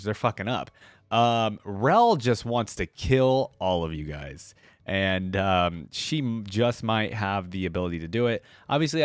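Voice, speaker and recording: A man's voice: on the low side, no room sound. A man hosting a reaction video, reading a fantasy story aloud and adding casual commentary.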